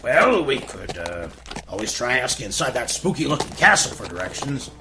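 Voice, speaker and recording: A woman's voice: very deep voice, sing-song, normal speed, noisy background, pitched below the performer's own voice. A woman voicing a cantankerous male squire in a gag-dub cartoon radio play, dry, sarcastic and grumbling.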